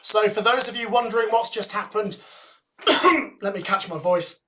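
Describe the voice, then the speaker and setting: A man giving live fan commentary on a match, excitable and shouting at goals, his voice going hoarse and breathless. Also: high voice, moderate pace, a little hiss or hum. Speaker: watchalong host commentator.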